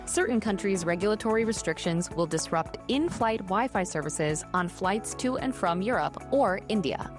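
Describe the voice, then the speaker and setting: Woman reading scripted narration over loud music. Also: medium pitch, expressive, moderate pace, hardly any pauses. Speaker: airline video announcer.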